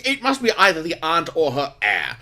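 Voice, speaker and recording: Casting: flustered male police chief, lightly high, forceful and very animated, period radio play. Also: normal speed, exaggerated voice. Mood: insistent. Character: harried, indignant male police chief under pressure. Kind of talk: radio drama acting.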